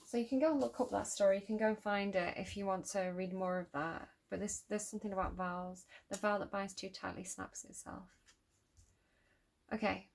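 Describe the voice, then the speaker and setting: A woman's voice: mid-range voice, fairly even intonation, a little fast, gravelly. A woman with a Manchester accent giving a casual tarot reading to camera, musing and repeating phrases as she reads card meanings from a book. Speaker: tarot reader.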